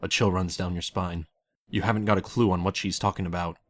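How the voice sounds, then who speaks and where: A man's voice: low voice, steady tone, fairly quick. A man reading a scripted story aloud as narrator, addressing the listener as the main character. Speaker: fan fiction narrator.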